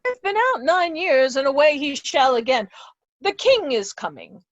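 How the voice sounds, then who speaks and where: A woman's voice: high-pitched, sing-song, normal speed, dry recording, dull audio. A woman playing a genial, bawdy older earl in a Shakespeare reading. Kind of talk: Shakespearean reader's theatre.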